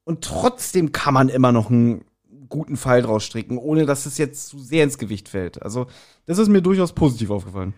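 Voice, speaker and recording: A man's voice: mid-range voice, expressive, a little fast, a little mellow. A man with speech co-hosts a podcast, explaining his points casually and colloquially and checking for agreement. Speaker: podcast co-host.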